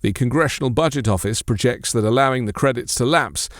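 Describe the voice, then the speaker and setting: Male news presenter, mid pitch, formal measured read of scripted copy, very dry recording. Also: dry recording.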